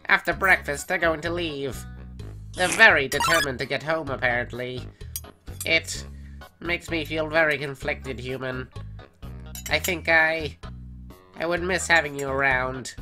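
A man's voice: a little high, slow, long pauses, over music. A man voicing an earnest, devoted younger skeleton brother in a comic dub, with dramatic, formal delivery. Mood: conflicted. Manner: dramatic, emphatic, formal wording. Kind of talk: animated comic dub acting.

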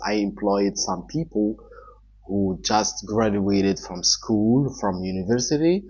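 Husky Moroccan man in his forties. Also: lowish voice, darkish tone. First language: Arabic. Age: 40s. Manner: earnest, halting non-native English with frequent restarts. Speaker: online entrepreneur giving advice. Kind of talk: talking-head advice vlog. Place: small indoor room with a wall-mounted TV. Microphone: camera in front at desk distance. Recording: somewhat dull, lo-fi sound.

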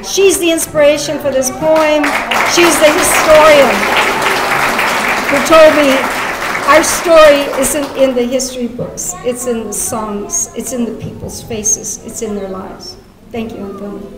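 High-pitched woman addressing a noisy audience. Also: fairly even intonation, unhurried. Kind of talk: live stage speech honouring a guest. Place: large meeting room with seated audience. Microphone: podium microphone. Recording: loud crowd noise in hall. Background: loud audience noise.

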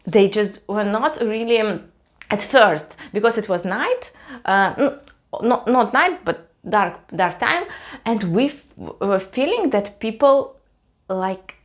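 A woman's voice: medium pitch, lively intonation, slow. A young woman from Russia with a Russian accent, telling a story to camera at home in a hesitant way, with many fillers. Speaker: vlogger. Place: small cluttered room at home, indoors.

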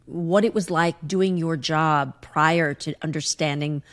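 A woman in her forties hosts the conversation in a low, soft voice, asking questions in a halting, curious way. She speaks from her living room at home. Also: steady tone. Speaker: podcast host. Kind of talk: casual interview.

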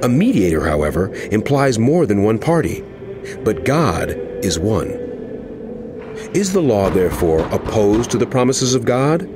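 An actor playing an impassioned male apostle in a dramatized scripture reading, speaking emphatically and deliberately to a wayward flock. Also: fairly low pitch, big pitch swings. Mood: earnest, instructive. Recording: music under the voice. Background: background music.

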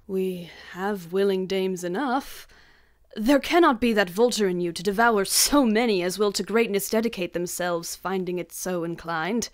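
A woman's voice: mid-range voice, normal speed, lightly acted. Woman acting impassioned, proud warrior lord. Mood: indignant. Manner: impassioned declamation. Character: proud, passionate Scottish warrior lord, fiercely loyal. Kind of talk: Shakespearean radio drama.

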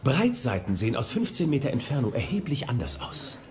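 Swaggering, animated naval raider. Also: male voice, medium pitch, brisk. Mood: boastful. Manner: boastful, scornful, blunt. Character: swaggering, brash English naval officer and raider. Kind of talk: historical docudrama radio play acting.

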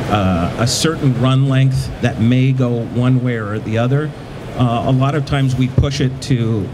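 A middle-aged man speaking conversationally as a panelist, with halting delivery, into a handheld microphone in a large exhibition hall. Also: medium pitch. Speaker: panelist, print shop owner. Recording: noisy trade show floor, ventilation hum.

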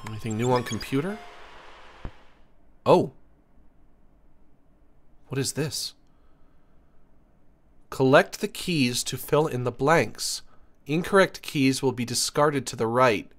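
A man's voice: medium pitch, animated, takes it slowly, long pauses, a bit bright. A man giving casual live commentary on a video game and reading its text aloud, playfully whiny when let down.

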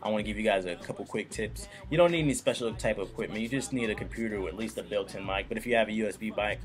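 Young dude, lowish voice, joking around explaining stuff, faint music in back. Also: male voice, racing. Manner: joking, loose, talks straight to viewers. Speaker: YouTube tutorial host. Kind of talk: casual screen-recorded tutorial.

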